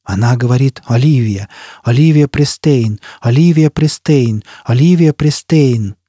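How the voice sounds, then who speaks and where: A nightmarish burning apparition played by a male narrator for an audiobook. The voice is rasping and dark, stretching each word slowly in tormented, imperious cries, recorded very dry. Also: medium pitch, dry recording. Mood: manic, insistent. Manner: slow, drawn-out, anguished exclamations. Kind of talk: audiobook character acting.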